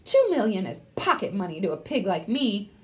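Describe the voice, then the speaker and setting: A woman's voice: mid-range voice, big pitch swings, moderate pace, natural voice. A pompous rich pig villain with a snorting, growling sneer, put on by a woman reading a storybook. His voice swoops widely as he gloats. He stays smug and scheming throughout.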